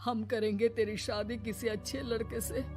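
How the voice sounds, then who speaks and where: A woman's voice: fairly high pitch, level tone, moderate pace, lightly acted. Woman playing a protective older father-in-law, music behind. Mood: reassuring. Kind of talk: cartoon moral story acting.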